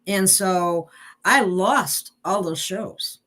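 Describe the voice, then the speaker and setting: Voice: mid-range voice, extremely slow, pushed and bright. A show host chatting casually, rambling and laughing often between remarks. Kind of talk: casual online chat.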